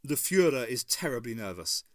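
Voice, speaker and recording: A man's voice: medium pitch, very animated, moderate pace, a bit bright, no echo. Diary read: a man as a stern general, disapproving, dry close sound. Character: exasperated male army general writing a diary.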